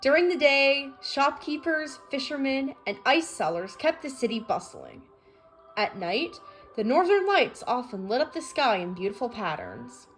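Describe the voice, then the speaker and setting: A woman reads a children's storybook aloud in a high, very animated voice. Her delivery is expressive and scripted, made for young listeners. Music plays along with her. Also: unhurried, rough recording. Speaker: storybook reader.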